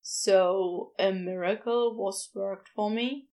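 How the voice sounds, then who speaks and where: A woman voicing a tender, devoted man who speaks softly and sincerely in an audiobook. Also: medium pitch, fairly even intonation, slow pace, pitched below the performer's own voice, lightly acted. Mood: uncertain. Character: low-voiced tender man, quietly devoted lover. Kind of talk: audiobook character dialogue.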